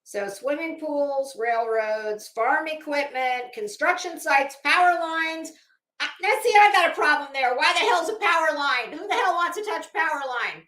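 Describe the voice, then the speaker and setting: A woman's voice: high voice, animated, normal speed, sharp tone. A woman livestreamer talking casually and reading aloud, with a blunt, sardonic, salty-tongued manner. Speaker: livestream host. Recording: echoey room.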